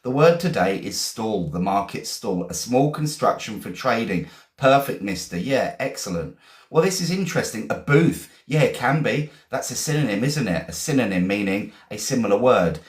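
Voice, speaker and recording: An English man teaches vocabulary on a live stream, warmly greeting viewers and asking the same question again and again. His voice sits low but swings widely up and down. It rings a little in a roomy space. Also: animated. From England. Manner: chatty and encouraging, greets viewers by country; repeats questions, slows to spell words out.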